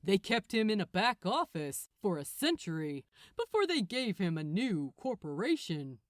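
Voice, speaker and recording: Smug archangel guy in an audiobook done by a woman, gloating n condescending, pitch all over, super dry sound. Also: very animated, moderate pace, no echo, lightly acted. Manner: condescending, chuckling, theatrical. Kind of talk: acted audiobook dialogue.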